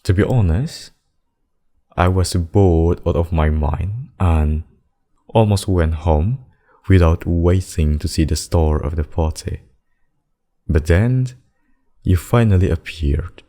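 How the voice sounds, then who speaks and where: Male actor as demon king, very deep, dark mellow tone, smug, possessive, courtly, theatrical menace, ASMR roleplay. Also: slow, long pauses. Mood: reminiscing, then smitten.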